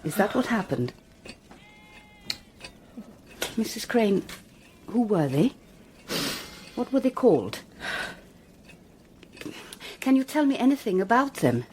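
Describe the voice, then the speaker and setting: A woman's voice: mid-range voice, very animated, a little slow, long pauses, warm tone, a little hiss or hum. A woman acting in a radio drama as an earnest police detective, coaxing and persistent in her questioning. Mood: pressing.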